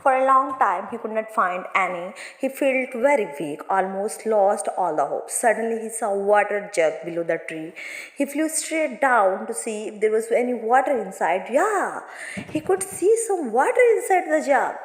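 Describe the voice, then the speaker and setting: A reverberant, echo-heavy recording of a woman giving a lesson. Her voice sits a little high. She explains a moral story for students in hesitant phrases with non-native English grammar. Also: lively intonation, moderate pace. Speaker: teacher. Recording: echoey room with long reverb.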